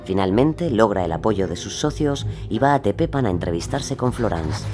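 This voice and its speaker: A man's voice: lowish voice, lively intonation, moderate pace. A man delivering scripted documentary narration for an audio series. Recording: loud music under narration.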